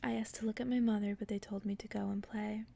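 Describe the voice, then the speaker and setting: A woman whispering close to the mic in a hushed, soothing ASMR style, as if reading and chatting someone to sleep. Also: mid-range voice, flat delivery, creaky. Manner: hushed whispering, soothing slow repetition of phrases. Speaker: ASMR host and book reader. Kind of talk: whispered ASMR, casual chat and bedtime story reading. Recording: faint background noise.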